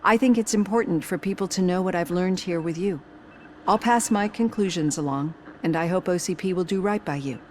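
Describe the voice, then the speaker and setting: An actress plays a composed, caring woman doctor in a game cutscene. The voice is fairly low and sincere, with measured phrasing over faint background noise. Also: close to an everyday voice. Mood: earnest. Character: caring, articulate female doctor, composed and earnest. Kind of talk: video game cutscene acting.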